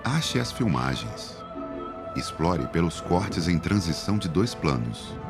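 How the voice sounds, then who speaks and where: Brazilian guy with a really deep voice describing a clip, lots of up and down in his pitch, music playing under him. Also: big pitch swings, takes it slowly. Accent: Brazilian Portuguese. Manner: plain descriptive reading. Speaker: audio description narrator. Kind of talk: scripted narration.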